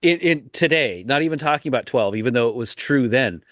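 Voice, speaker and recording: A young man in a middle-pitched voice chats casually as a podcast co-host, rambling and restarting as he thinks. The sound is dry and close, with no room echo. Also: expressive, fairly quick, fairly bright tone. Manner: rambling, many restarts and laughs. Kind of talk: casual chat.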